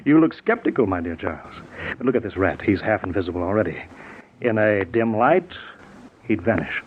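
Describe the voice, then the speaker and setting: A man acting a gloating, domineering scientist with a grudge against the world, in the manner of 1940s radio drama. His voice sits low, heard through a tinny, noisy old recording. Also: lively intonation, brisk, close to an everyday voice. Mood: proud, scheming. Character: arrogant, resentful albino inventor drunk on his own power.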